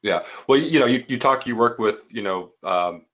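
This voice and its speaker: A man's voice: mid-range voice, normal speed, a bit bright. A man hosting an interview podcast, asking questions in a casual, conversational style.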